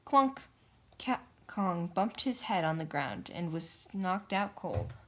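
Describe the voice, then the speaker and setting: A woman's voice: fairly low pitch, big pitch swings, slow pace, fairly bright tone. A woman reading a children's picture book aloud, narrating in a theatrical, emphatic way. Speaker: storybook reader. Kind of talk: dramatic children's story narration.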